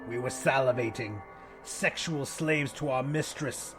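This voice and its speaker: A man's voice: medium pitch, measured pace, over music. A man narrating first-person fiction as a dramatic audiobook reader.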